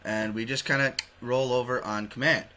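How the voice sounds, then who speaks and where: A man's voice: medium pitch, steady tone, moderate pace, sharp tone. A man with a Canadian accent giving opinionated news commentary, emphatic, sardonic and prone to swearing. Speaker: online commentator hosting his own show. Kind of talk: opinionated news commentary, partly reading articles aloud.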